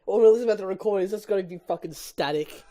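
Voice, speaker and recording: A man's voice: high-pitched, rapid-fire, mellow. A young man, an amateur reader working through a film script aloud and chatting casually, with a sweary, exasperated edge.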